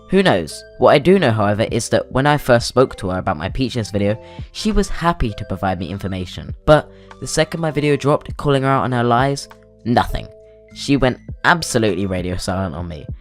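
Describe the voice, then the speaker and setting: Lower-pitched man giving video commentary. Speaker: guest YouTube commentator. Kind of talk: scripted opinion commentary.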